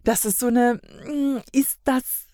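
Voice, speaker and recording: A woman's voice: fairly high pitch, steady tone, slow pace, dark tone, no room sound. A woman in a casual review conversation, thinking aloud and often searching for words. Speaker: podcast guest.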